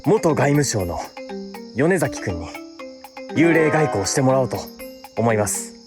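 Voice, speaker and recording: A man's voice: medium pitch, very animated, a little slow, over music. A man hosting a ghost-spot exploration, explaining casually to camera outdoors at night.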